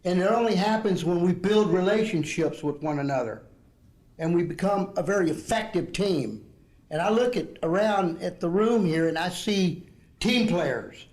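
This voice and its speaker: Older man, sixties, Southeast Texas accent, high-pitched; earnest dinner speech, rambling with fillers. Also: darkish tone. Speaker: speaker at an education program dinner. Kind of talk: speech to a group.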